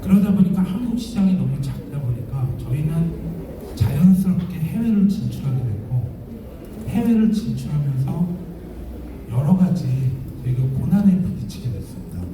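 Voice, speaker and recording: A man's voice: a little high, sing-song, moderate pace. Echoey, distant male presenter with sore throat. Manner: formal, polite, explanatory. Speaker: company chairman presenting at a business event. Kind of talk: business presentation to an audience. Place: event venue, public address to an audience. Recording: very echoey hall, distant, noisy.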